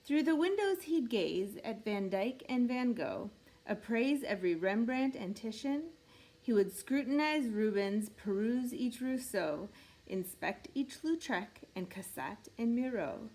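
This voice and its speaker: A woman reading a rhyming picture book aloud in an expressive, measured storytelling way meant for children. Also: on the high side, rough recording. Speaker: storybook reader.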